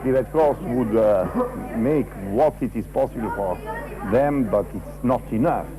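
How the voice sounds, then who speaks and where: A man with a mid-pitched voice answers haltingly as an aid-worker interviewee. Crowd noise is clearly audible around him. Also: poor audio.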